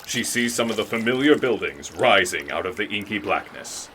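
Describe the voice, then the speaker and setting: An actor playing a genial, folksy narrator, delivering scripted radio drama narration in a theatrical way. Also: male voice, on the low side, lively intonation, normal speed, sharp tone, slight background noise. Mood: storytelling.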